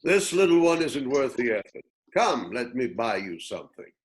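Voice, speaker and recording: A man playing a calm, courteous old warrior in a sci-fi script reading. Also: mid-range voice, moderate pace, close to an everyday voice. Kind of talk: script table read.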